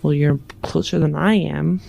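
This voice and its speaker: A woman's voice: low voice, fairly even intonation, normal speed, gravelly, mellow, slight background noise, pitched below the performer's own voice. A woman playing a dry, teasing adventurer in a tabletop game, wry and polite. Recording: music under the voice.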